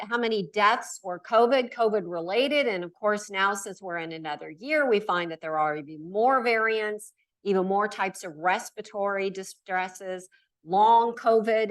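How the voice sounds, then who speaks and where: A middle-aged woman from Nebraska speaks at a conference, leaning hard on key words and running through lists. Her voice sits at a middle pitch. The mic is some way off, so she sounds distant in the hall. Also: normal speed. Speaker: keynote speaker. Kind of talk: conference talk. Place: lecture hall. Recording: voice from far off the mic.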